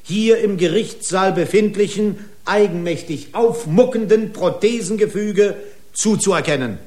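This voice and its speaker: An actor playing an indignant, officious company lawyer pleading in court with pompous, long-winded legalese in a radio drama. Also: male voice, sits high, unhurried, a little mellow. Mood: haughty, formal. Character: indignant, officious corporate attorney arguing in court. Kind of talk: German radio drama acting.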